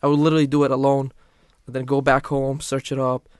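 A man's voice: mid-range voice, flat delivery, quick pace, darkish tone, clean tone, no room sound. Young guy talking earnest in a podcast chat, lots of restarts, dry close mic. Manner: earnest, many restarts and "literally". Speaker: guest. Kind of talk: casual podcast conversation.

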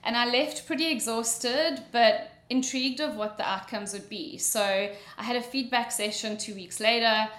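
A woman from Mauritius with a South African accent, telling her career story as a podcast guest in a relaxed, storytelling way. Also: a little high, moderate pace, hardly any pauses. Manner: relaxed storytelling, frequent ums. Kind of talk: conversational interview answer. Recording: echoey room.

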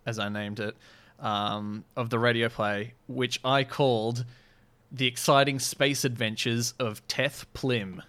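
A man with a medium-pitched voice chats casually as a podcast co-host. He is wry and rambling, often feeling his way through a thought. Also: fairly even intonation, unhurried, fairly bright tone. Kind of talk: casual chat.

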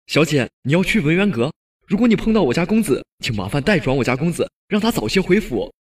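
Man playing a husky, high-pitched flustered servant. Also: very animated, fast talker. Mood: flustered, apologetic. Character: anxious young male servant, flustered, apologetic. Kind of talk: Chinese period audio drama acting.

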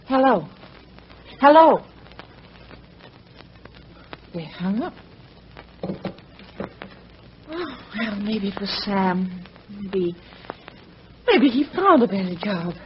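An actress playing an anxious, timid young wife in an old-time radio drama, fretful and hesitant, often breaking off. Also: female voice, medium pitch, big pitch swings, takes it slowly, pauses often. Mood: fretful, grateful. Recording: muffled, noisy old radio recording.

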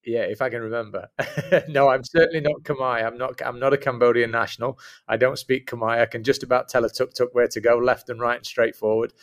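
A man's voice: mid-range voice, fairly even intonation, brisk. A middle-aged man with a northern Midlands English accent, a guest talking reflectively and modestly, often repeating words. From Northern England and Midlands, UK. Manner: self-deprecating, repeats words. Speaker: interview guest, school principal. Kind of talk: casual reflective interview talk.

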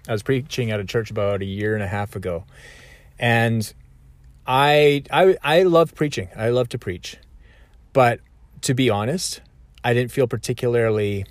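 Low-voiced man, reflective and dry. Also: no echo. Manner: thoughtful, self-correcting, conversational. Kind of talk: reflective solo talk.

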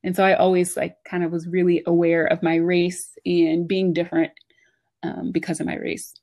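A woman's voice: on the low side, little pitch movement, moderate pace, a little mellow. Chatty American woman telling personal stories. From United States, Philadelphia area. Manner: chatty, informal, frequent "like" and "you know". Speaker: interview guest, coach. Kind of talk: casual conversational storytelling.

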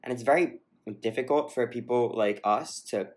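A man's voice: mid-range voice, normal speed, a little mellow. Man co-host, mid-range voice, reflective casual talk with plenty of hedges and restarts. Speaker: podcast co-host. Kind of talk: casual discussion.

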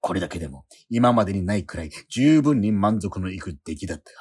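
An actor playing an obsessive, starving painter who narrates his own work in a radio drama with a slow, heavy inner monologue. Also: sits low, expressive, darkish tone, breathy edge. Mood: brooding. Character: obsessive, starving male painter, feverish and brooding. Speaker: struggling painter.

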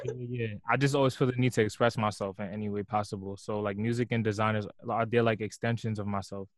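A man's voice: fairly low pitch, fairly even intonation, fast talker, dry recording. A young man from New York, a musician being interviewed, talking in a laid-back way full of "like" and "you know". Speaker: musician guest. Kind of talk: casual interview conversation.

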